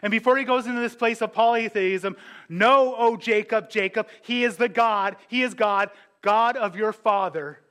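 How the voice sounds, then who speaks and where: Pastor guy, high bright voice, chatty preaching w/ little jokes. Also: moderate pace. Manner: conversational preaching with asides and jokes. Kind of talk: sermon.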